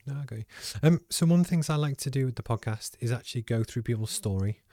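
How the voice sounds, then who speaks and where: A man's voice: medium pitch, fast, dark tone, no room sound, clean studio sound. A man hosting a podcast interview in a casual, conversational way. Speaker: podcast host.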